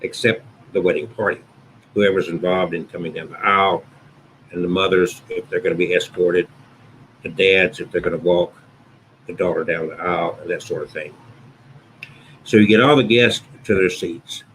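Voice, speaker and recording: A man who works as a wedding officiant, talking through the usual order of a ceremony in an unhurried, conversational way, often restating his points. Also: steady tone, fairly bright tone, slight background noise, rough recording. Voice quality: booming voice. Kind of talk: instructional talk to camera.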